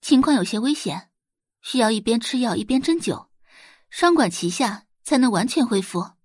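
A woman narrator puts on a cool, self-possessed young female healer in an audio drama. The delivery is polite, refined and matter-of-fact, in a soft-grained husky voice with a close, dry sound. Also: on the high side, lively intonation, normal speed, no room sound. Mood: calm, instructing. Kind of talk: Chinese audiobook drama dialogue.

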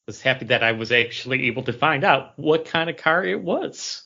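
A man co-hosting a podcast, chatting casually about pop culture in a rambling, halting way that often trails off. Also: mid-range voice, expressive, vocal fry, pushed and bright, highs cut off. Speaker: podcast co-host.

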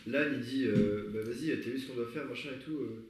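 A young man speaks quickly in casual slang. He talks through story ideas with a group, in a room with heavy echo. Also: mid-range voice, darkish tone, slight background noise. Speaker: podcast member brainstorming a comedy script. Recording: very echoey room.

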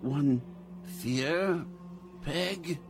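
A man playing a credulous, anxious uncle in a radio drama, with a halting, pleading, theatrical delivery. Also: on the high side, over music. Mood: tender, trembling. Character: credulous, anxious wealthy uncle yearning for dead woman.

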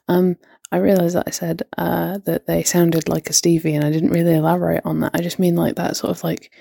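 A woman's voice: on the low side, gravelly, mellow, slightly rough, dry recording, clean studio sound. A woman leaving a casual, rambling voice message for a podcast, encouraging and a little giggly. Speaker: caller leaving a voice note.

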